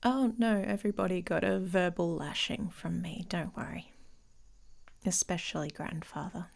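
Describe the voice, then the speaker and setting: A woman playing a dominant, teasing but protective female werewolf in intimate ASMR roleplay, in a breathy, husky voice that often drops to a whisper. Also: on the low side, steady tone. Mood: reassuring, blunt. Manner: slow, teasing, commanding.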